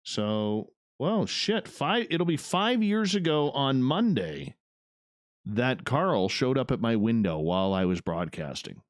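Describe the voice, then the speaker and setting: A man's voice: mid-range voice, big pitch swings, slow pace, no echo, studio quality. A man hosting a late-night radio talk show, chatting casually and laid-back, often tacking "man" onto his sentences.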